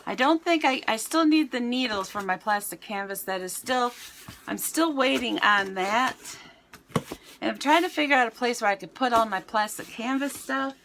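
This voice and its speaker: A middle-aged American woman with a raspy voice, chatting casually to camera in a home vlog. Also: a little high, normal speed, a bit bright, slight background noise. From United States. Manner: chatty, rambling, self-mocking. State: raspy voice today. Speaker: vlogger. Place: home, indoors.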